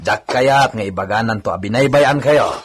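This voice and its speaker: A man's voice: on the low side, moderate pace, slight background noise, lightly acted. A male actor in a radio drama playing a hard-drinking hacienda worker who wheedles and nags. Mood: brisk, bossy.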